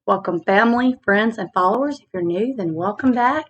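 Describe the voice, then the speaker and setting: A woman with a slightly raspy voice, hosting a casual faith vlog, talking earnestly with fillers and slow reflective stretches into a laptop mic. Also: mid-range voice, animated, dull audio. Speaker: channel host. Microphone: laptop built-in mic.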